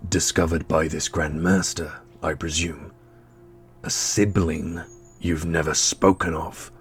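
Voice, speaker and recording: Guy doing a deep blunt skeptical dude in a fantasy audio drama, incredulous, music underneath. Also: little pitch movement, slow, full character voice. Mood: probing. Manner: incredulous, plain-spoken. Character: blunt, skeptical adult man, demanding answers.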